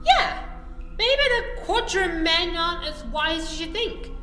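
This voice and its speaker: A woman's voice: very high-pitched, lively intonation, unhurried, pushed and bright, heavily acted. A woman playing a plucky, blunt young companion to a hero in an audio drama. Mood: blunt, supportive. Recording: echoey room, faint music.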